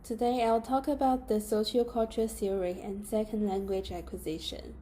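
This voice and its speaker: Chinese-accented young woman, very mellow voice. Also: mid-range voice, normal speed, slight background noise. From China. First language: Mandarin. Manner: steady, careful reading of a prepared script. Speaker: student presenter. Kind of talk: read class presentation.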